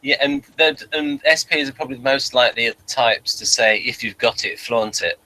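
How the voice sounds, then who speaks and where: A man's voice: mid-range voice, normal speed, bright, forceful tone, rough recording. A man in casual conversation as a review co-host, chipping in with repeated words. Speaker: podcast co-host reviewing a TV series. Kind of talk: casual chat.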